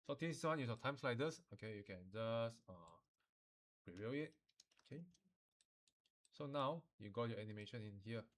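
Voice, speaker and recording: A man with a medium-pitched voice teaches software step by step. He has a Malaysian or Singaporean accent and an informal, colloquial way of phrasing instructions, often ending phrases with local particles. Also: expressive, moderate pace, pauses often. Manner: colloquial phrasing with sentence-final particles like lah and lor.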